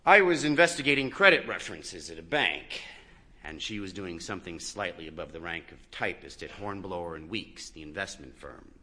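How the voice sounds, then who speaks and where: A man reading a first-person literary short story expressively, swelling in volume and dropping softly. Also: low voice, normal speed. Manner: expressive, with strong swells and soft drops in volume.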